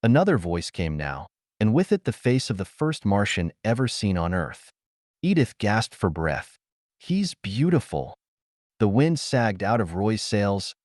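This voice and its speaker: A man's voice: lowish voice, sing-song, a little slow, a little mellow, no room sound, studio quality. A man reading pulp science fiction aloud as a scripted audiobook narrator.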